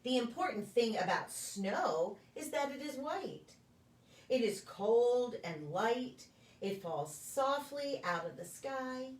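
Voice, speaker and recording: A woman reading a children's picture book aloud in a deliberate, sing-song storytelling way for preschoolers. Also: on the high side, sing-song. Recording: echoey room, distant microphone.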